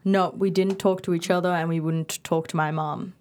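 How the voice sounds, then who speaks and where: A young woman from Bali talks quickly and casually as a podcast guest, her speech full of fillers and self-corrections. Her voice sits a bit low for a woman. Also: steady tone, darkish tone, slight background noise. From Bali, Indonesia. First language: Indonesian and Balinese. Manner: fast, chatty, frequent fillers and restarts. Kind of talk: casual interview conversation.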